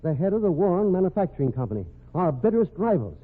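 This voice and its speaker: A blustering middle-aged company president in a vintage radio mystery. His fairly high, husky voice leaps about with gruff impatience. The recording is muffled and lo-fi. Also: sing-song, quick pace. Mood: alarmed. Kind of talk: old-time radio mystery acting.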